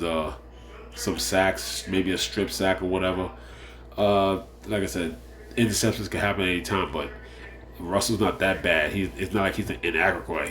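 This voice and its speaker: Deep-voiced man, stuffy and nasal. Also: a bit bright. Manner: rambling, repeats phrases, many fillers. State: nasal, slightly under the weather. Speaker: fantasy sports show host. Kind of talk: casual solo picks preview.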